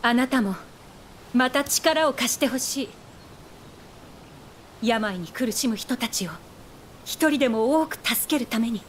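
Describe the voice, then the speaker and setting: A woman's voice: fairly high pitch, expressive, a little slow, long pauses, a little hiss or hum. A woman playing a polite, earnest young physician in a Japanese video game, courteous and composed.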